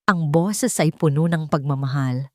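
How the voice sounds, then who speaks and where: A woman storyteller delivers scripted, dramatic narration in a somewhat low voice. The recording is dry and close, with very little room sound. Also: measured pace, warm tone, no echo.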